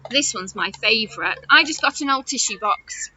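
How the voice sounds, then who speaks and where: A woman talking chattily to young children as their teacher, animated and full of questions and wonder. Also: a little high, normal speed. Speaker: children's teacher hosting story follow-up activities. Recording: dull audio with loud noise and clicks.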